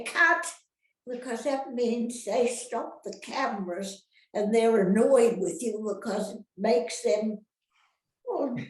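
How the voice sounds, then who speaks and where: An older woman, an actress reminiscing casually in an interview, rambling and halting, often turning questions on her listeners. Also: a little high, darkish tone. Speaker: retired actress being interviewed. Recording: roomy, echoey sound.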